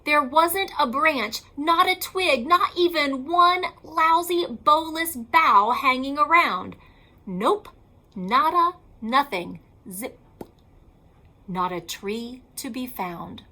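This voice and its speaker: A woman reading a children's picture book aloud like a schoolteacher, in a theatrical, emphatic and deliberate way. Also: high voice, lively intonation, pushed and bright.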